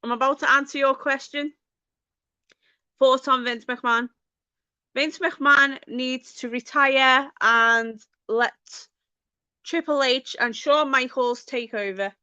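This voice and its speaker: A woman's voice: high voice, fairly even intonation, slow, bright, forceful tone, clear, resonant tone, no echo, muffled recording. A woman with a Liverpool accent chatting casually to her viewers as a channel host, informal and sweary, addressing them by name. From Liverpool, England. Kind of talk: casual livestream chat with viewers.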